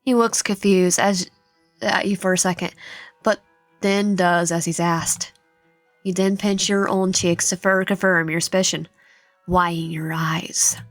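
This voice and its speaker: A woman's voice: fairly low pitch, steady tone, a little slow, no echo. A woman reading a visual novel aloud with casual asides, stumbling over words now and then. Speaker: video game streamer. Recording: music behind the voice. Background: music.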